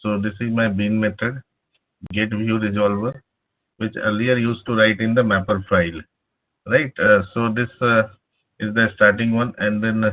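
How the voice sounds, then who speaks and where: A man teaching a live online programming class over a speakerphone, pausing often with "uh". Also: lowish voice, little pitch movement. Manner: halting, many filler "uh"s. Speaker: programming instructor. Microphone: laptop speakerphone, no headset. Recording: tinny phone-like line.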